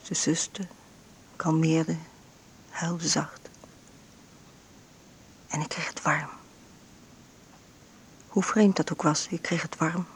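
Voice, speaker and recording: An actress playing a frail, ailing bedridden mother in an intimate radio drama monologue, breathy and often near a whisper, with halting short phrases. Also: low-pitched, fairly even intonation, slight background noise. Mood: fragile, pained. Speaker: actress narrating in a radio play.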